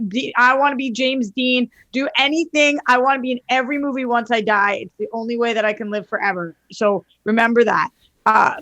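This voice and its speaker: A woman's voice: mid-range voice, a bit bright, no echo. A woman co-hosting a podcast in casual chat, brash and joking in her way of talking. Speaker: podcast co-host.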